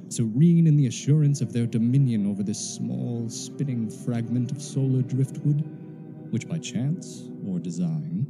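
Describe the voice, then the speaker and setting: An actor playing a grave, portentous narrator in a radio play, with solemn, measured delivery. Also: male voice, medium pitch, close to an everyday voice, low-quality recording. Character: grave, ominous male narrator of an alien invasion.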